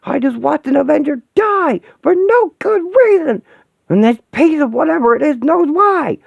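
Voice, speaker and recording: Brief: enraged superhuman woman, put on by a man, shrill falsetto, dry tinny recording. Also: very animated, normal speed, no room sound. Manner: shrieking, raging falsetto. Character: furious hulking superhuman woman. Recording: tinny phone-like audio, dry.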